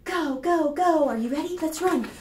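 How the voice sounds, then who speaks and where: A woman in her fifties reads a picture book aloud to preschoolers, expressive and instructive, addressing the children directly. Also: high voice, normal speed. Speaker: children's storytime librarian. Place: small plain room indoors. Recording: roomy echo, faint background noise.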